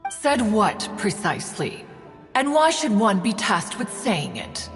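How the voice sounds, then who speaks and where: Proud old immortal lady from a game, super formal n imperious, slow, big reverb. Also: mid-range voice, sing-song. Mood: prim. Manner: stately, imperious, formal, calling herself "one". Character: haughty, proud ancient female adeptus, archaic formal speech. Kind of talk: video game voice acting. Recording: long reverb, music behind.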